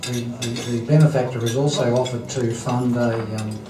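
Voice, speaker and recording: Male host, hesitant and halting, constant ums, roomy hall heard from afar over clinking dishes. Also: medium pitch, fairly even intonation, noisy background. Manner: hesitant, many ums and throat clearing. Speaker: event host introducing a speaker. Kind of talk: halting formal introduction at a lunch. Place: dining hall at a lunch event. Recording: roomy hall, distant mic, clattering dishes.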